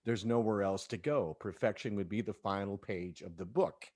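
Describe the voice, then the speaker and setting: A man's voice: lowish voice, moderate pace, darkish tone. A man chatting casually and expressively as he leads a group discussion among performers. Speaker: audio drama cast member leading a cast discussion.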